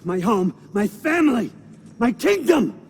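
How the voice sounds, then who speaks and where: A man's voice: very high voice, sing-song, unhurried, breathy edge, heavily acted. An actor playing a scheming, self-pitying old Norse god-king in a dramatic video game style, sardonic and grandiose. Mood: anguished, furious. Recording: loud music behind the voice. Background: dramatic game score.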